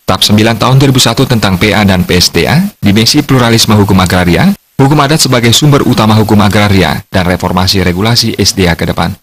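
Fast low-voiced male news reporter, husky. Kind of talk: scripted news narration.

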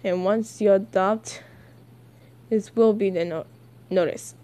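Soft, slow, sinister villain voiced by a woman. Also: medium pitch, fairly even intonation, natural voice. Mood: sinister coaxing. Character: calm, coaxing, sinister villain manipulating a youth.

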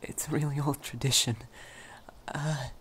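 Male actor as lovestruck vampire youth, ASMR romance: breathy, very hesitant and stuttering, unhurried, nervous and gentle. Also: fairly high pitch, steady tone, slight background noise. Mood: hesitant, shy. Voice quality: soft, breathy, often whispering. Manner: heavily stammering, hesitant, apologetic.

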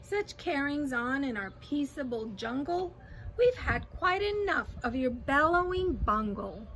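Woman putting on a bossy, high-voiced scornful kangaroo. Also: big pitch swings, measured pace, a bit bright, slight background noise, heavily acted, low-quality recording. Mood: stern, snappish. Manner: snappish, haughty. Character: bossy, scornful big kangaroo, snappish and domineering.

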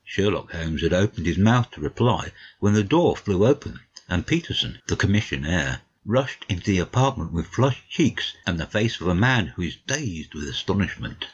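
A man playing an educated gentleman who narrates a detective story in a measured, literary way, on slightly lo-fi audio. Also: low-pitched, expressive, husky. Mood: measured storytelling.

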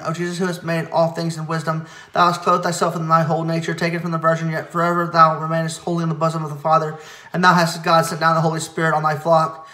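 Man, fairly high voice, flat monotone liturgical reading, fast, roomy echo. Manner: quick, steady recitation with stumbles and self-corrections. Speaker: reader leading a church service. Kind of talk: liturgical chanting of hymn verses.

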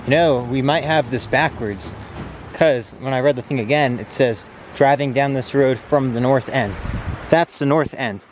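A young man narrates a casual travel vlog to a camera held at arm's length. He speaks at a middle pitch, with a noisy outdoor background behind his voice. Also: normal speed. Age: young adult. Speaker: vlogger. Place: outdoors in a mountain forest. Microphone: handheld camera at arm's length. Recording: noisy outdoor handheld recording.

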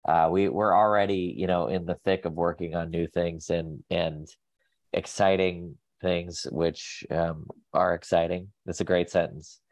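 Man, 30s, nasal, sick with a cold, very low voice, rambling guest, video call. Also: steady tone, unhurried, darkish tone, dry recording. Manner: rambling, many fillers and restarts. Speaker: guest, pet nutrition company staff. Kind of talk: casual video call interview. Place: home office.

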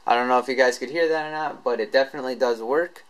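Casual, halting man giving a tutorial. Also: mid-range voice. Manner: halting, informal, unscripted. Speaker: tech tutorial presenter.

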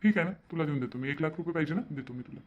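A man's voice: fairly high pitch, very fast, muffled recording, noisy background, natural voice. A man voicing an easygoing, moody young brother-in-law in a cartoon, casual and repetitive, in Varhadi-accented Marathi. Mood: obliging. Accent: Varhadi Marathi, Vidarbha. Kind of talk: amateur cartoon voice-over.

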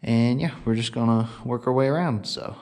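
A man with a mid-pitched voice talks casually through a DIY job. He is plain-spoken and laid-back, speaking to an action camera in a bare block-walled basement garage. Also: moderate pace, darkish tone. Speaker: DIY vlogger. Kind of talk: casual talk to camera while working.

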